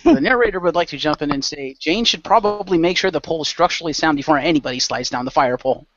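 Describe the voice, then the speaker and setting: Male game master, higher register, casual narration and banter, lo-fi dry recording. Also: fast talker, a bit bright, dry recording.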